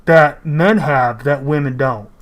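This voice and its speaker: A man with a voice pitched a little above average gives casual reaction commentary. He talks off the cuff and is blunt and argumentative, with swearing mixed in. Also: slow.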